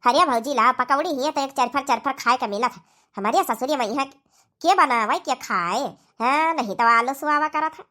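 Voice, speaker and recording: A woman's voice: high-pitched, moderate pace, sharp tone. A woman voicing a chatty married village daughter with an Awadhi accent in a comedy cartoon. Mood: chatty, wheedling.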